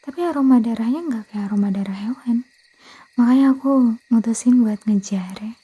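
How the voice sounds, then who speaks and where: Woman as a breathy, whispery Indonesian vampire girl. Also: on the high side, moderate pace. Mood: curious, concerned. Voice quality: breathy, soft. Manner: hesitant, stammering, self-correcting, coaxing. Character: blood-craving young female vampire girlfriend, harmless, hesitant, pleading. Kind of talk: intimate ASMR roleplay, much of it whispered.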